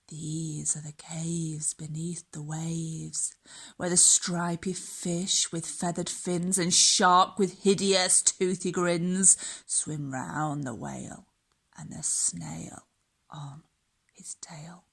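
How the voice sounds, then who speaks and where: A woman reading a children's story aloud in a dramatic, measured way, lingering on the rhymes. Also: sits low, level tone, vocal fry, fairly bright tone, slightly rough.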